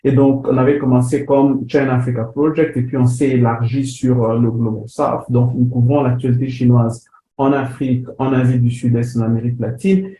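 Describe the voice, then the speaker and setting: A man's voice: medium pitch, flat delivery, normal speed, mellow. A man from DR Congo presenting a webinar lecture, with frequent fillers and restarts. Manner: hesitant, many fillers and restarts. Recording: roomy sound with faint background noise.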